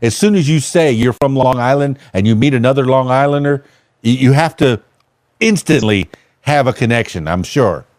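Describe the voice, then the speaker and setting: A man's voice: medium pitch, animated, moderate pace, a little mellow, dry recording. A man in his fifties from South Florida hosting an interview, chatty and joking, thinking aloud with lots of fillers. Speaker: podcast host. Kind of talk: casual interview.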